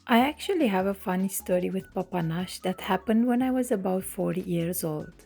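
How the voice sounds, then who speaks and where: A woman from Romania, hosting her cooking channel and narrating a recipe in clear step-by-step instructions from her home kitchen. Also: mid-range voice, lively intonation, a little fast, warm tone, faint music behind.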